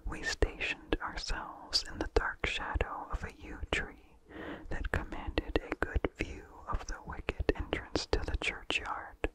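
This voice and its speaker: A narrator reading a vintage horror story entirely in a breathy, hushed ASMR whisper, with deliberate phrasing. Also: rough recording.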